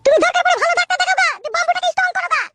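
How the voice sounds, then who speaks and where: A woman's voice: extremely high pitch, fairly even intonation, racing, bright, forceful tone. A woman voicing a brash, nagging middle-aged village mother in a bawdy cartoon. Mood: indignant scolding. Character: shrill, foul-mouthed, scolding middle-aged village mother. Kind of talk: bawdy cartoon comedy acting.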